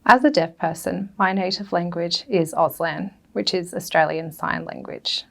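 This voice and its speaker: A woman voicing a deaf speaker's talk as an interpreter, with a gravelly voice and careful, phrase-by-phrase delivery. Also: fairly low pitch, moderate pace, a bit bright. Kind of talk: spoken interpretation of a prepared talk.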